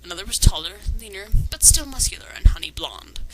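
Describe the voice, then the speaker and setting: A woman's voice: medium pitch, very animated, fast talker, in a whisper, low-quality recording. A woman reading fan fiction aloud with sarcastic, critical commentary. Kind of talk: dramatic reading with mocking commentary.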